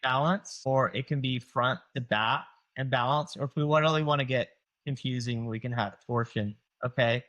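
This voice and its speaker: A chiropractor in his forties with a Southern US accent, speaking at an ordinary middle pitch. He explains things conversationally, dropping "like" and "you know" as he goes and slipping into patients' voices as quotes. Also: moderate pace, fairly bright tone. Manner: explains with casual fillers, acts out patients' quotes. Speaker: chiropractor guest.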